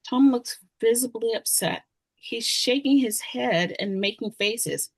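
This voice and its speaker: A woman's voice: a little high, a little slow, no room sound. A woman reading stage directions as scripted narration at a screenplay table read.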